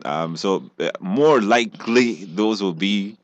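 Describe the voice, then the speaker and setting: A man's voice: deep voice, lively intonation, takes it slowly, dry recording. A man hosting a radio interview in an affable, casual way, often repeating words as he frames questions. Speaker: radio talk show host.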